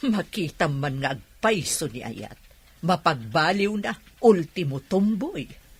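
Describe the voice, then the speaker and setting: A woman acting as a teasing, expressive villager in an Ilocano radio comedy drama. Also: low voice, measured pace, slightly rough, exaggerated voice. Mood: wondering, gossipy.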